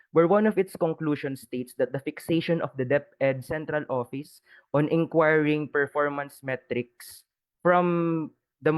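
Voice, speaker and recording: A man's voice: a little high, dry recording, low-quality recording. A young man from the Philippines with a Filipino accent, asking a careful, polite question at an online conference and slipping Tagalog words into his English. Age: young adult. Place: online session.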